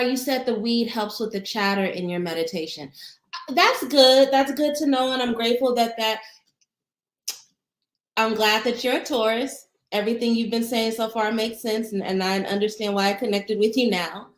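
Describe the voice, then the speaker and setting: A woman with a soothing voice, a meditation teacher answering chat questions in a casual, gentle, encouraging livestream. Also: fairly high pitch. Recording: roomy echo.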